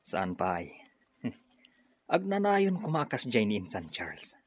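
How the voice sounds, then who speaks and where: An actor playing a scheming, gloating villainous cousin in a radio drama, with a sly manner and a Filipino accent. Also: male voice, lowish voice, wildly swooping pitch, slow, slightly rough, muffled recording, full character voice. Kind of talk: Ilocano radio drama acting.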